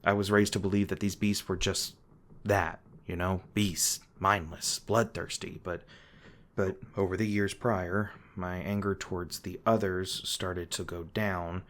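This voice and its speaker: A man playing a haunted monster hunter in an intimate audio roleplay, guarded but remorseful, confessing his painful past in a halting, hesitant way. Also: low voice, level tone, a bit bright. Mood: grim, reflective.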